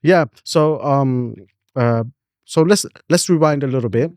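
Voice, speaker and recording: A man hosts a relaxed podcast conversation, wandering through his sentences with plenty of fillers. His pitch sits in a middling range. The sound is dry and close, with no room echo. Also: unhurried. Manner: rambling and laid-back, heavy on fillers like "you know". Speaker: podcast host. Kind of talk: casual interview conversation.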